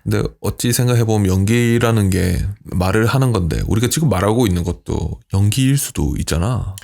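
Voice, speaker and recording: A man's voice: on the low side, fairly quick, creaky, darkish tone. A man from Korea's Gyeongsang region with a Gyeongsang accent, hosting a casual conversation in a laid-back, musing way. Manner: laid-back, musing, many murmured backchannels. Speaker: podcast host, senior filmmaker.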